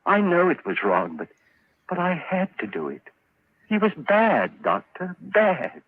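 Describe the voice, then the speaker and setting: A man's voice: high voice, huge pitch swings, measured pace, heavily acted. Agitated madman, wildly swooping voice. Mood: pleading. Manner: stammering, pleading, melodramatic. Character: agitated guilty madman tormented by imagined heartbeat. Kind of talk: 1940s radio drama acting. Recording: muffled, noisy old-time radio recording.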